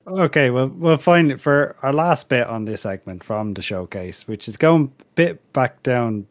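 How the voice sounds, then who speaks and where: A man's voice: mid-range voice, expressive, unhurried, dry recording. A man hosting a casual panel podcast, introducing segments in a dry, chatty way. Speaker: podcast host.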